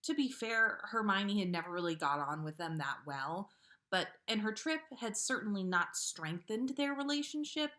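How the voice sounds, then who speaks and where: Expressive woman, informal storyteller. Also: mid-range voice, moderate pace. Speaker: podcast host reading listener stories. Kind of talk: casual livestream chat, then storybook read-aloud.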